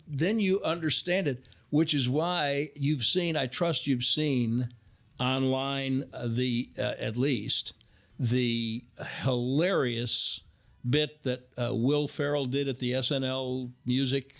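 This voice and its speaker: A man chatting casually in a conversational storytelling way, often halting and repeating himself as a guest on a talk show. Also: mid-range voice, fairly even intonation, a bit bright.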